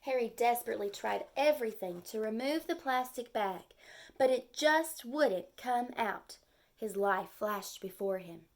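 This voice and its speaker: A woman's voice: a little high, animated, measured pace. A woman reading and explaining a children's story expressively, in simple phrases aimed at young listeners.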